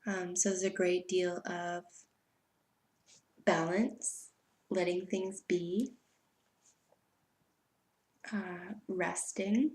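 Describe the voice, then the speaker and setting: A woman's voice: lowish voice, steady tone, roomy sound. Stuffy-voiced woman reading tarot. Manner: soft, unhurried, spiritual invocations. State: stuffy from allergies. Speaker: tarot reader. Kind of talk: weekly tarot reading video.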